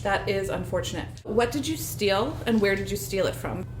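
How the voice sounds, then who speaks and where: A woman's voice: medium pitch, lively intonation, moderate pace. A woman playing a tabletop role-playing game, improvising in character with formal wording and an insistent manner. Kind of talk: in-character improvised dialogue in everyday voice. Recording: roomy group recording with noise.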